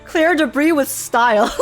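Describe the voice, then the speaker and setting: A young woman giving casual, excitable game reaction commentary, giggly and thinking aloud as she goes. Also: sits high. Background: faint game music.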